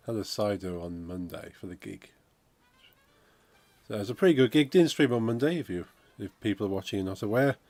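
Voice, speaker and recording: A man, croaky from a cold, chatting casually on a game dev stream and reading out chat. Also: fairly low pitch, lively intonation, a little fast, a little mellow. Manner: rambling, chatty, reads out chat messages. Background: funky music playing.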